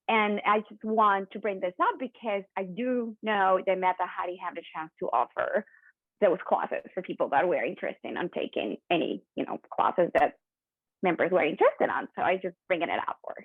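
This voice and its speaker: A woman's voice: mid-range voice, fairly quick, no echo. A woman whose first language is Spanish, talking earnestly in a group discussion and restarting her sentences. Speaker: meeting participant. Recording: tinny dry phone line.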